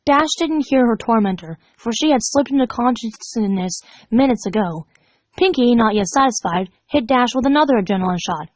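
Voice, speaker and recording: A woman narrates a story from a script in a fairly high voice. Her pitch moves a lot as she reads, and the recording is dry and close. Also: animated, moderate pace, no echo. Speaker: fan fiction reader.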